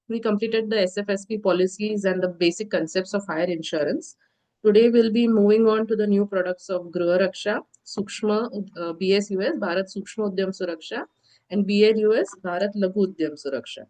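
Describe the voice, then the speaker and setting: A woman's voice: mid-range voice, rough recording. Nasal woman lecturing on insurance. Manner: explanatory, steady, many filler pauses. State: nasal from a cough and cold. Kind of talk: online lecture presentation. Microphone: video call.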